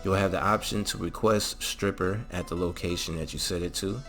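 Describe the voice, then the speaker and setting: Low-voiced man giving a tutorial. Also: fairly even intonation, moderate pace, pushed and bright, faint music behind. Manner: instructional, frequently checking in with the listener. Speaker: host of a game mod tutorial. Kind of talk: casual step-by-step tutorial narration.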